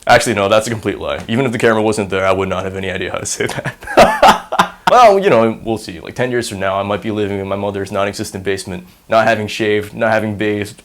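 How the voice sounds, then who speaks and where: Casting: man in his twenties, Singaporean-American accent, rambling, self-mocking, fairly low voice. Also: lively intonation, fast talker, slight background noise. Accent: mix of American and Singaporean. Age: 20s. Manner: rambling, filler-heavy, self-deprecating humor. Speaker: university student. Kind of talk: casual on-camera interview monologue.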